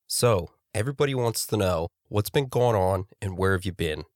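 A man co-hosting a casual podcast, sounding congested from a cold, relaxed and joking. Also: low-pitched, normal speed, no room sound, studio quality. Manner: relaxed, jokey, laughs often. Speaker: podcast co-host. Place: studio across from co-host.

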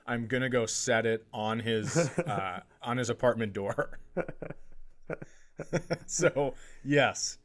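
A man's voice: medium pitch, fairly bright tone. A middle-aged man co-hosting a podcast, chatting casually and telling stories with comic exaggeration. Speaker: podcast co-host. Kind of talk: casual comedic chat.

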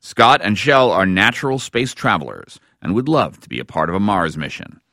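A man's voice: sits low, animated, normal speed, pushed and bright, no room sound. An American man hosting a radio show with scripted narration in a studio. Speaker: radio host.

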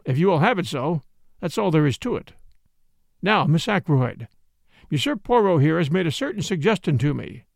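A man performs a middle-aged police inspector in an audiobook whodunit. He is blunt, stubborn and official, stressing words with very varied pitch and creaky edges. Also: no room sound, studio quality, heavily acted. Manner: brusque, official, emphatic.

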